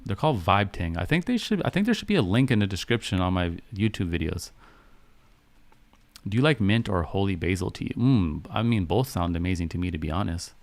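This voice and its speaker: A man's voice: on the low side, gravelly, no room sound. A man, hosting a casual live stream chat in an affirming way, repeating phrases and checking that listeners understand. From Canada, grew up in Vancouver. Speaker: live stream host and podcaster.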